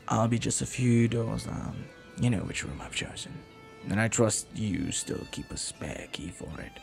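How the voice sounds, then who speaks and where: A man playing a flirty, lovelorn pirate captain in a romantic audio roleplay, speaking softly and earnestly with hesitant, lingering pauses. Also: on the low side, gravelly, full character voice. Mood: tender, pleading.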